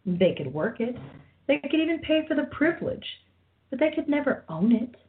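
A woman's voice: high-pitched, big pitch swings, moderate pace. A woman narrating a radio play in a scripted storytelling style. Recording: tinny phone-like line.

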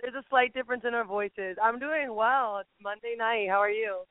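A woman calling in as a guest, deep-voiced, giggly and eager. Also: moderate pace, no room sound. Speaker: guest caller. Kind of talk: casual chat. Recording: tinny phone line.

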